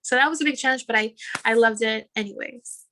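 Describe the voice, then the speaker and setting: A woman from New York City on a panel, telling a story in a lively way and acting out the dialogue. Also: fairly high pitch, brisk, slight background noise. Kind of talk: casual panel chat.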